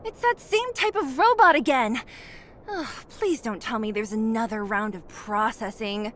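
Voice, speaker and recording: A woman voicing a spirited, earnest young fighter in an anime-style game, expressive and confident. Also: on the high side, unhurried, a little hiss or hum. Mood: startled. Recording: faint music behind.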